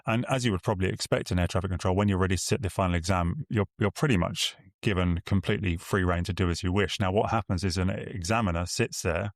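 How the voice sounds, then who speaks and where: Man, very deep voice, dry close-miked sound, measured articulate explainer, podcast interview guest. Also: no room sound. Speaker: air traffic expert and podcast guest.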